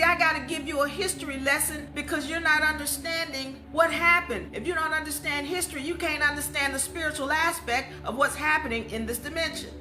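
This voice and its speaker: A woman giving an impassioned, informal history lecture in an emphatic, didactic way, often asking rhetorical questions. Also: high voice. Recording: music under the voice. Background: music.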